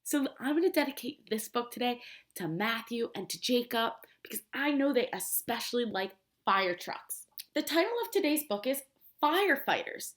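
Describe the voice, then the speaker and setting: High-pitched, animated woman reading to preschoolers. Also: moderate pace. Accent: American. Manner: simple, expressive child-directed talk, repeating and prompting. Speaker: preschool teacher. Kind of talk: children's read-aloud with questions to the listeners.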